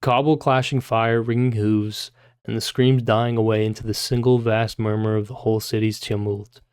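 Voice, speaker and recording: A man's voice: lowish voice, little pitch movement, moderate pace, darkish tone, clean tone, dry recording, studio quality. A man livestreaming, reading a novel aloud and breaking off into informal, puzzled asides with some swearing.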